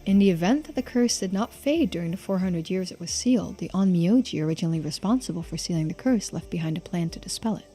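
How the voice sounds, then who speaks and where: A woman's voice: on the low side, animated, quick pace, hardly any pauses, mellow. A woman streaming a game playthrough, reading on-screen text aloud and adding casual remarks. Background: game music, faint to clear.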